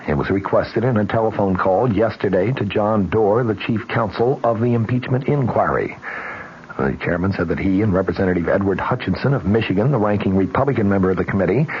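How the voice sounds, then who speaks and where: On a muffled old radio broadcast with slight noise, an American man reads the news. His delivery is formal and measured, in the scripted manner of a 1970s network report. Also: lowish voice. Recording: dull, noisy old radio recording.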